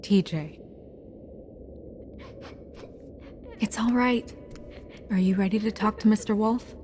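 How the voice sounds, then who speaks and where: A woman's voice: medium pitch, steady tone, normal speed, warm tone, a little hiss or hum, natural voice. A woman playing a poised, determined fairytale office administrator who is defiant yet caring, in naturalistic game acting. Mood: reassuring.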